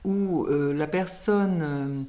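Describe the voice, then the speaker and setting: A woman from France speaks in a slightly low voice, a singing teacher being interviewed about her work. She explains patiently, reaching for everyday examples and breaking into light laughs. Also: slow, dark tone. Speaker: singing teacher and author, guest.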